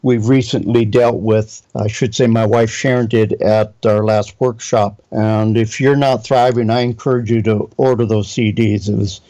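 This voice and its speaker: Male preacher, warm dark tone, teaching and reading scripture; slightly dull lo-fi recording. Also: on the low side, steady tone, normal speed, hardly any pauses. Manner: reads scripture aloud, spells out Hebrew words. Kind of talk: Bible teaching sermon.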